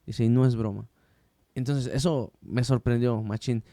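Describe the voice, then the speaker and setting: A man's voice: medium pitch, fairly even intonation, normal speed, dark tone, no room sound, studio quality. A man hosting a casual faith podcast, chatty and slangy, with many restarts. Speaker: podcast host.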